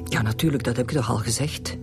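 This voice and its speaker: Prickly low-voiced Flemish widow. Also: female voice, quick pace, music in the background. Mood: curt, defensive. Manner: curt, prickly. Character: indignant, defensive widow of a murdered man. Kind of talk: naturalistic radio drama acting.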